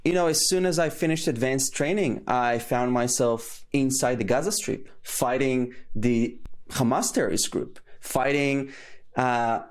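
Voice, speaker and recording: A man's voice: mid-range voice, a little slow, smooth. A young man from Israel, a guest in an interview, talking earnestly with frequent fillers and restarts. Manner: earnest, hesitant with many fillers. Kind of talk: conversational interview.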